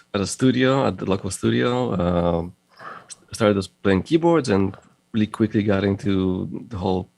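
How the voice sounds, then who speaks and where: Low gravelly man with Russian-Israeli accent. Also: darkish tone, no echo. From Moldova. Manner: halting, many fillers and restarts, laughs often. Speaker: guest, audio company founder. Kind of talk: casual interview conversation.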